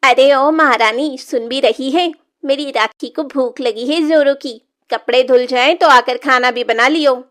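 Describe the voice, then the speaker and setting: Woman acting a cruel high-voiced cartoon stepmother. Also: measured pace, hardly any pauses. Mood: scornful. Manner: harsh, taunting, threatening. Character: cruel, scolding middle-aged village stepmother. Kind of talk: Hindi moral-story cartoon dubbing.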